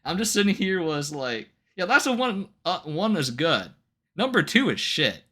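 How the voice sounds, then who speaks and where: A man chatting casually on a livestream, ranting to viewers in an incredulous, animated way with casual swearing. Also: a little high, moderate pace, slightly rough. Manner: laughs often between remarks. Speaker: live streamer.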